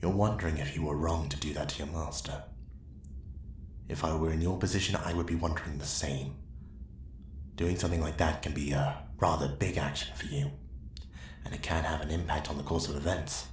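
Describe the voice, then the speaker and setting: A man playing a calculating Sith Lord in an ASMR roleplay, speaking in a measured, persuasive, commanding way. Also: extremely low pitch, fairly even intonation. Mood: softly persuasive. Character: calculating male Sith Lord, smooth seducer to dark side. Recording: echoey with faint background noise.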